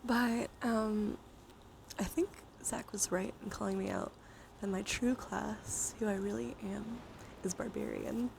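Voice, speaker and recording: Woman, ASMR livestream, soft and often whispered, gentle chatty delivery, average pitch, clear ambient noise behind. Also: unhurried. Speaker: live streamer. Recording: clear steady background noise.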